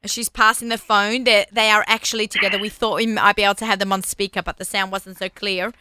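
Bright, crisp-voiced woman host. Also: medium pitch, flat delivery, dry recording. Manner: upbeat, quick to praise, chatty. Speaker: radio show host. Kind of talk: interview on air.

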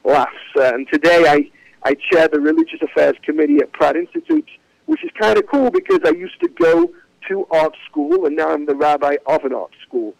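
A man, a rabbi talking about his life as a guest on a casual podcast, reflective and often pausing with "uh, you know.". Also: on the high side, wildly swooping pitch, creaky, a little mellow, dry recording, low-quality recording. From Manchester, England.

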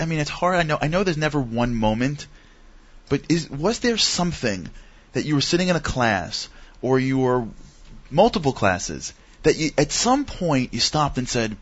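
Male interviewer, mid pitch, eager and quick with questions, muffled lo-fi audio. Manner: eager, rapid-fire questions. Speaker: show host. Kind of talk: broadcast interview hosting. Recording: dull lo-fi broadcast audio.

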